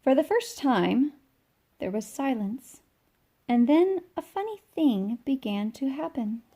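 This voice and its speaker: A woman reading a children's picture book aloud as an informal, expressive storyteller who stretches key words for young listeners. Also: high voice, slow pace, husky. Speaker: read-along storyteller.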